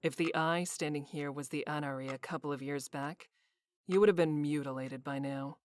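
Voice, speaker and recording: A woman's voice: low-pitched, steady tone, normal speed, natural voice. A woman voicing a sly, haughty, teasing woman with formal, arch phrasing in a visual novel. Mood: cool, haughty. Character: sly teasing haughty woman, formerly violent, now playful. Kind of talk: visual novel character voice reading.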